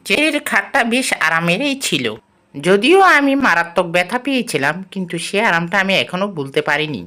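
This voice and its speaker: A performer with a woman-like voice plays an excitable, boastful cartoon cat who talks to itself in dramatic exclamations. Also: medium pitch. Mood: smug, relaxed. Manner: exclamatory, dramatic, talking to self. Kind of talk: cartoon dub, comic monologue.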